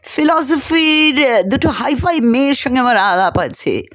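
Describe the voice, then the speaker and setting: A woman plays a boastful young college artist in an audio story, quick to take offence. The pitch rides high and jumps about as he snaps dismissively in student slang. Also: animated, fairly bright tone, no room sound. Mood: irritated, boastful.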